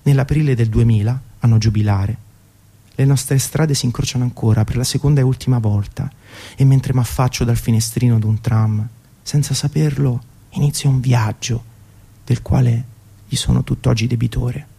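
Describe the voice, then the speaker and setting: A man in his thirties reading a scripted, literary radio narration in a measured, poetic way. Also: medium pitch, steady tone, vocal fry, warm tone, slightly rough. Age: 30s. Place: radio broadcast.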